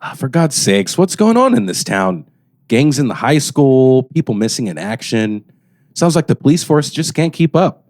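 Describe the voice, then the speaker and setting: Radio serial father, played by a man: stern, fretful, authoritative, with big swings in pitch. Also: sing-song, normal speed, darkish tone, dry recording, studio quality. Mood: worried, firm. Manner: scolding, authoritative. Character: stern, worried 1950s small-town father. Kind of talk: old-time radio drama acting.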